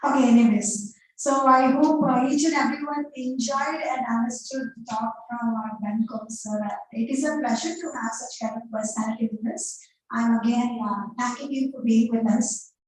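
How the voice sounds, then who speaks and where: A woman hosting an event, speaking loudly and chattily to an audience. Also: on the high side, steady tone, moderate pace. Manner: loud, chatty, joking aside. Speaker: event host. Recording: echoey hall, from far off.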